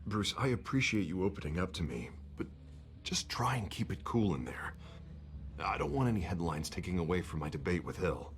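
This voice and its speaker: A man's voice: deep voice, sing-song, a little fast. An actor playing a male politician and loyal but worried friend, earnest and conversational, in a dramatic game. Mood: concerned.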